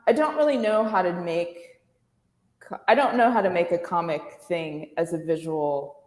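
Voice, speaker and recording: A woman artist in casual, reflective conversation, animated, quoting her own thoughts and searching for words, recorded in a reverberant room. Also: fairly low pitch, moderate pace. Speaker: artist being interviewed. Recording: echoey room with long reverb.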